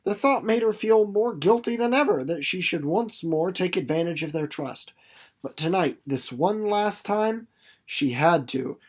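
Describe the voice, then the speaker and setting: A narrator reading a novel aloud as scripted literary narration, in a dramatic storytelling manner that slows and softens for suspense. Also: mid-range voice, animated.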